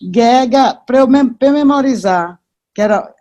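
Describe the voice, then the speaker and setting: A woman's voice: sits high, measured pace, warm tone, highs cut off. An older woman with a Northeastern Brazilian accent, reminiscing casually as an interview guest, chatty and full of asides and tag questions.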